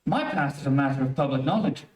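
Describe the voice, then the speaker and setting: An actor playing a worried, earnest surgeon in a 1930s radio drama, with formal and urgent delivery. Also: male voice, mid-range voice, expressive, fast talker, natural voice. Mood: defensive. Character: worried, earnest male surgeon under death threat. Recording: roomy, distant-miked stage recording.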